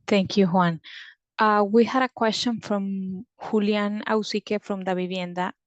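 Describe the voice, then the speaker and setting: A woman moderates a corporate question and answer session. Her voice sits at a medium pitch and sounds dry, with no room echo. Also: fairly even intonation, unhurried, dull audio. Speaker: conference call moderator.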